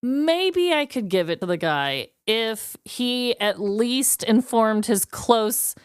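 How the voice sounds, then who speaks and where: A woman's voice: on the high side, lively intonation, slow, clean tone, dry recording, clean studio sound. A woman co-hosting a podcast in casual chat, with an informal, blunt way of talking. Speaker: podcast co-host.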